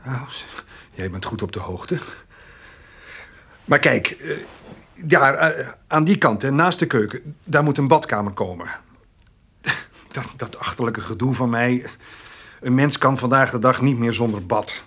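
A shy country man in a natural radio-drama style. His voice sits in the middle range and has a husky grain. He stumbles and hesitates, circling around what he means. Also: mid-range voice, unhurried, pauses often, sharp tone, full character voice. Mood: sheepish. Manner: hesitant, stammering, roundabout. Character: shy, awkward country man clumsily courting.

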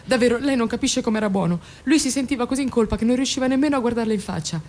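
A woman's voice: fairly high pitch, racing, darkish tone, close to an everyday voice. An actress in a radio drama playing an anxious, loyal middle-aged mother who hesitates, stammers and repeats her words. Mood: earnest, anxious. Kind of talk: Italian radio drama acting.

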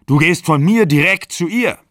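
A woman's voice: lowish voice, lively intonation, moderate pace, no room sound, studio quality, voice pushed up, close to an everyday voice. A man voicing a young woman who is leaving her older lover, speaking in a raised, tearful and defiant voice. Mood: accusing.

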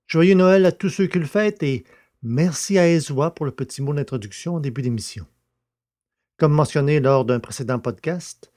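Guy hosting a scripted story podcast, medium pitch, deliberate delivery, narrates too. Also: warm tone.

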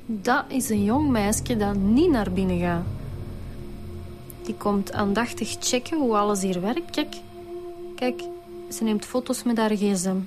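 A woman with a Flemish accent playing an eager, persistent police detective in a radio drama, brisk and insistent. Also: medium pitch, close to an everyday voice. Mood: alert, eager. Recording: music behind the voice.

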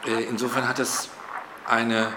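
A man's voice: medium pitch, level tone, a little slow. Hesitant man in a noisy recording. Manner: hesitant, academic phrasing with fillers. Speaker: interviewee. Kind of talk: spoken interview answer.

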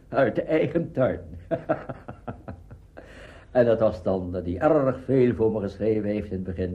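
An older man looks back on his radio work in a halting delivery, drawing out some words and leaning on others. His pitch is average for a man. The sound is dull and lo-fi. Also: warm tone. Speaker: radio drama veteran being interviewed. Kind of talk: spoken reminiscence, listing works. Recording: dull lo-fi archive recording.

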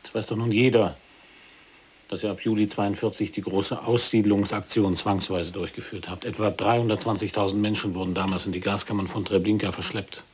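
An imprisoned resistance fighter, played by a male actor in an audio drama. His voice is low, firm and articulate as he states facts with accusing earnestness, over a faint hiss. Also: steady tone, brisk, darkish tone, close to an everyday voice. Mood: indignant. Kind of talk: audio drama, testimony-like narration.

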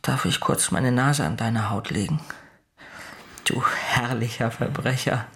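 A man's voice: mid-range voice, unhurried, fairly bright tone. A man playing a lovestruck care-home director in a radio play, insistent, intimate and floridly poetic. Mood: infatuated, intimate. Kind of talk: German radio play acting.

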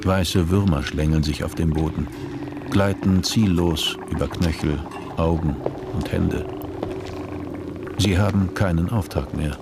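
A man narrating a scripted radio play in a measured, literary manner. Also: sits low, fairly even intonation, music in the background.

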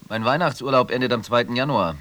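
A man's voice: medium pitch, fast, fairly bright tone, close to an everyday voice. An actor in a German radio play, playing a dry, sceptical, unyielding man who speaks curtly and formally. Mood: stern, indignant. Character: dry, unyielding male visitor, sceptical and curt.

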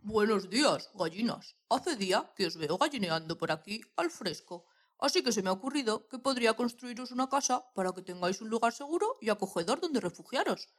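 A woman's voice: a little high, expressive, a little fast. A woman playing a sly, sweet-talking male farmer in a children's audio story, ingratiating and salesman-like. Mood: friendly, coaxing.

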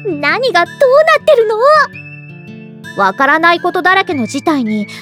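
A very high, girlish female voice plays an ageless elf artist full of self-admiration. She narrates in the first person with theatrical, self-assured flourishes and lively swings of pitch. Music plays behind this anime-style drama monologue. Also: normal speed. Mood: bewildered.

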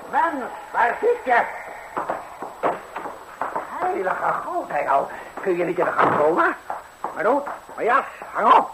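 Through heavy noise of an old radio fairy tale comes a bellowing, hungry devil. The voice sits high for a man and leaps about wildly as he gripes and snaps. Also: huge pitch swings, takes it slowly, long pauses, exaggerated voice. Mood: impatient, bellowing. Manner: gruff, irritable grumbling and barking. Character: grumpy, bellowing devil, gluttonous man-eating son of an old mother. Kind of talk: 1960s Dutch radio fairy-tale acting. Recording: noisy old 1960s radio recording.

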